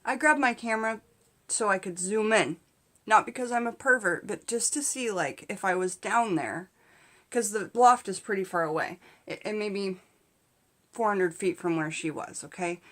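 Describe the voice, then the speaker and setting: A middle-aged woman telling a casual comedic story to camera in an animated, candid, conversational way. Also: mid-range voice, moderate pace. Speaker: vlog host and storyteller. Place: small indoor space in front of a curtain.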